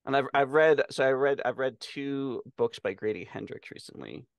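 A man's voice: medium pitch, normal speed, gravelly, clear, resonant tone, no room sound. Male podcast co-host, middle-aged, mid-range voice, loose conversational delivery, very little room sound. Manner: casual, freely swearing. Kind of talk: casual chat about books. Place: small room on a video call.

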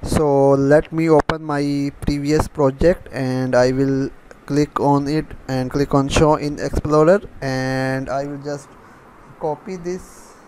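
Higher-voiced man giving a halting software tutorial. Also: level tone, a little hiss or hum, rough recording. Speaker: programming tutorial host. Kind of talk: unscripted software tutorial narration.

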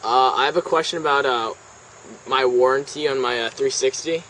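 Teenage male prank caller, mid-pitched, hesitant and deadpan, faint outdoor noise on camera audio. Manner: hesitant, halting, straight-faced. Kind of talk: deadpan prank phone call. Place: outdoors on a house's back deck. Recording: noisy outdoor camera audio, insects, traffic.

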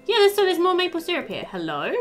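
A woman's voice: very high voice, huge pitch swings, fast talker. A woman in her thirties giving casual, chatty let's play commentary, thinking aloud and talking to the game characters. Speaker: gaming video host. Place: indoor room at home. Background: game music.

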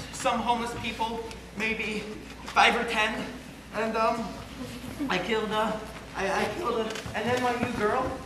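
A man performing a stage monologue as an unhinged, panicking murderer confessing by phone, stammering and breaking into nervous laughter. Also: very high-pitched. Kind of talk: theatrical monologue as a one-sided phone call. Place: large room at a banquet, recorded on camcorder. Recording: echoey hall, loud noisy camcorder audio.

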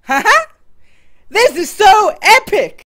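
A woman voicing an excitable, naive teenage boy hero in a cartoon parody dub, exclaiming in slangy, dramatic bursts. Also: extremely high pitch, takes it slowly, heavily acted. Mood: excited.

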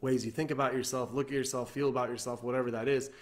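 A man's voice: mid-range voice, flat delivery, quick pace. A man in his thirties, from Iran, giving an earnest motivational talk to camera from his living room, repeating himself and restarting often. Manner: earnest, repetitive, many fillers and restarts. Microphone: webcam or laptop camera at desk.

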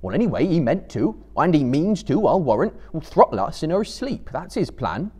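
A man's voice: fairly high pitch, sing-song, moderate pace, mellow, slightly rough, voice pushed up. A man voicing a suspicious, indignant loyal hobbit with blunt rustic phrasing in a dramatic story reading.

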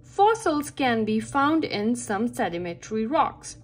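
A woman reading a nonfiction picture book aloud for children, with careful, deliberate and expressive phrasing. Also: a little high. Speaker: read-aloud narrator for children. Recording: background music under the voice. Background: music.

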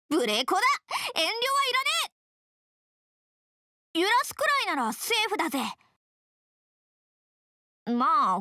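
Brash anime game tomboy girl, bright forceful voice, blunt slangy talk, easily flustered, very dry close sound. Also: very high-pitched, no echo, full character voice. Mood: cocky. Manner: rough masculine slangy speech, blunt. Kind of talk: video game character voice lines.

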